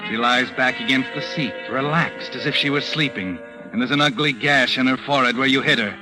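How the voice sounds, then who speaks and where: A man's voice: mid-range voice, fairly quick, close to an everyday voice. A man acting as a sardonic, all-knowing narrator of an old radio mystery, taunting and insinuating as he addresses a criminal. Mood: ominous, taunting. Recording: old radio recording, loud music behind. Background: loud dramatic music.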